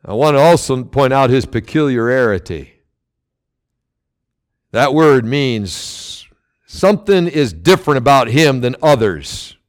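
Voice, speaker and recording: A man preaching a sermon as pastor, loud and emphatic, with sudden swells and drops in his delivery. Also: mid-range voice, lively intonation, slow pace.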